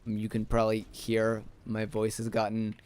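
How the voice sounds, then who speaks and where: A young man streaming a game, sleepy and a little raspy, rambling casually to chat as he plays. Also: lowish voice, monotone, moderate pace, a little mellow. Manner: rambling, talks to chat while playing.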